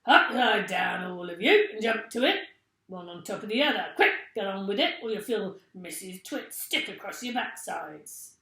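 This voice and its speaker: A woman's voice: mid-range voice, animated, moderate pace, a bit bright, roomy sound. A woman voicing a fierce, spiteful, bad-tempered man from a children's storybook, barking and menacing. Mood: barking orders.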